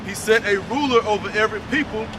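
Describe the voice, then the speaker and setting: A man's voice: high voice, quick pace. Man reading scripture from afar. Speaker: scripture reader. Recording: distant outdoor street recording with traffic.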